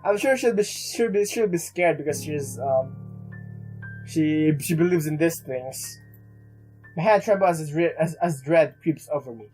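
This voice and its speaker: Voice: mid-range voice, animated, unhurried, a little mellow, rough recording. A let's player reading a visual novel aloud in a dramatic, stumbling way and reacting along the way. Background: game music.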